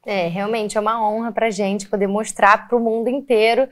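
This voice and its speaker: Woman, Carioca accent, chatty, filler-heavy, relaxed interview talk, mid-pitched voice. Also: normal speed. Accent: Carioca Brazilian Portuguese. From Rio de Janeiro, Brazil. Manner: chatty, many fillers like "né" and "assim". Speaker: actress interviewee. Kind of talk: casual interview chat.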